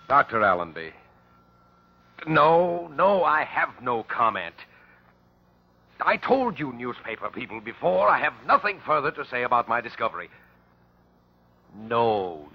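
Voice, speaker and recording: An actor playing a pompous, impatient archaeology professor, curt and dismissive, in an old-time radio drama. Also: male voice, mid-range voice, husky, heavily acted. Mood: irritated. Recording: muffled, noisy old radio recording.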